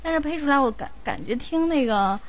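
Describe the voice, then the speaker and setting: A woman's voice: high-pitched, lively intonation, quick pace. An older woman chatting casually as a guest, rambling and questioning herself as she goes. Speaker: amateur voice actress, guest. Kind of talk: casual chat.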